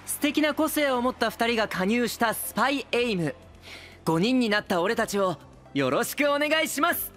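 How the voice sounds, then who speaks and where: A man's voice: very high-pitched, very animated, moderate pace, faint music behind, full character voice. A male actor playing a polite, princely young idol who hosts a stage event in an audio drama. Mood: gracious. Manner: courteous, smooth, gently chiding. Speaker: stage host.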